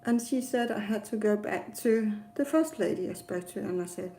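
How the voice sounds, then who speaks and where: A woman with a Danish accent, a native Danish speaker, telling a personal travel story casually in a hesitant, rambling way with frequent pauses. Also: medium pitch, mellow, a little hiss or hum. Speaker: travel vlogger.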